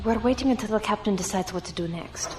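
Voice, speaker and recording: A woman's voice: mid-range voice, quick pace, background noise, close to an everyday voice. A woman playing a polite young ensign in a science fiction audio drama, with an earnest, deferential manner. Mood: flustered, defensive.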